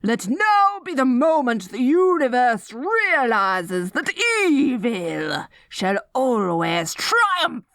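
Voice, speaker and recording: A woman's voice: a little high, big pitch swings, takes it slowly, few pauses, fairly bright tone, no room sound, clean studio sound, full character voice. A woman playing a dorky, melodramatic young evil queen in an audio roleplay, stammering when flustered and grandiose in her villainy. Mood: grandiose, defiant. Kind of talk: scripted ASMR audio roleplay.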